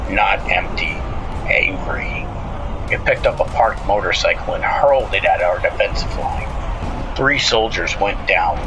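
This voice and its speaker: A man's voice: sits low, rough recording. A man narrating a scripted horror story as a show host, dramatically, in short clipped phrases. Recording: music bed under the voice. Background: music.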